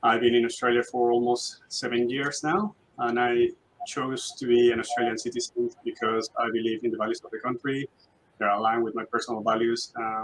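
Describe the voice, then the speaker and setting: A man's voice: medium pitch, fairly bright tone, a little hiss or hum, low-quality recording. A man from Peru, a new citizen speaking sincerely and composedly over a video call.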